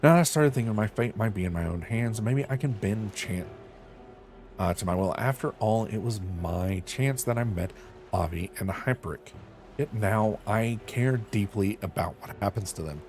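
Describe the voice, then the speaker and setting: A man's voice: on the low side, lively intonation, normal speed, darkish tone, slight background noise. A young man streaming a game, reading the dialogue aloud and chatting in a loose, self-mocking way.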